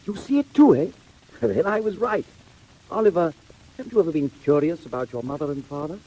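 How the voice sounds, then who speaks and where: A kindly, excitable gentleman of means in a 1940s audio drama. His husky voice ranges very widely, courteous and inquisitive with theatrical flourish. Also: fairly high pitch, fairly quick, poor audio. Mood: intrigued.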